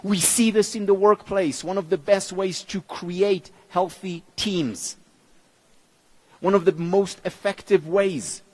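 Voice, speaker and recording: A man whose mother tongue is Hebrew gives a motivational conference talk in English, with measured, emphatic phrasing and deliberate pauses. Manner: lively, expressive pitch, dropping low on key words. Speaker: keynote speaker.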